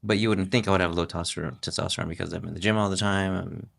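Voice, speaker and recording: Deep gravelly man, flat and relaxed. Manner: relaxed, halting, with many restarts. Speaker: podcast guest, host's husband. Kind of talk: casual interview talk.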